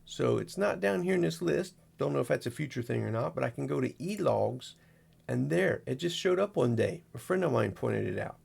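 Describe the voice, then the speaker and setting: A man with a very gravelly, creaky voice and a husky edge hosts a casual screen walkthrough. He rambles in a folksy, unscripted way, talking through each step as he does it. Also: mid-range voice, lively intonation, moderate pace, darkish tone. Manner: folksy, rambling, narrates each click as he goes. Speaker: amateur radio operator, video host.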